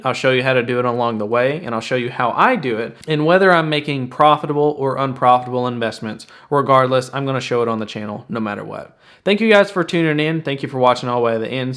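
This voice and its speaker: A man's voice: mid-range voice, fairly bright tone. Young man explaining casually to viewers. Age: 20s. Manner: plain-spoken, casual, emphatic repetition. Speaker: finance video host. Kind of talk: explanatory investing commentary to viewers.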